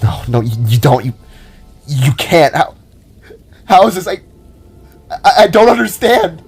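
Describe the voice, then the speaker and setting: A man's voice: fairly high pitch, big pitch swings, unhurried, gravelly, breathy edge, soft background music, voice pushed up, heavily acted. A man voicing an anguished young robotic alien brother in an audio drama, stammering in disbelief. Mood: tearful disbelief.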